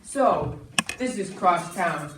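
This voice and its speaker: A man's voice: sits high, lively intonation, slow. A young man playing a smug, formal gold-seeking schemer in an amateur stage monologue. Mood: scheming. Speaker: amateur stage actor in a school play. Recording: echoey room from far off, faint noise.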